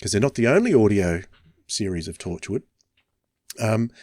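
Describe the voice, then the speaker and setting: A man hosting a podcast in a conversational way, picking his words with pauses. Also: lowish voice, sing-song, darkish tone, no echo, studio quality. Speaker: podcast host.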